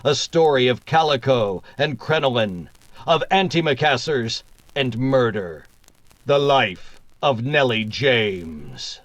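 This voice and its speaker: Male actor as 1940s thriller-show announcer: stately, dramatic, slow-paced, medium pitch. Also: animated, no echo. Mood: formal, suspenseful. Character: formal 1940s radio announcer introducing thrillers.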